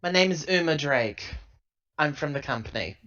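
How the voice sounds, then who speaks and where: A man's voice: a little high, animated, normal speed, pushed and bright, muffled recording. A man voicing a tough, impatient company woman in a tabletop game, blunt and demanding.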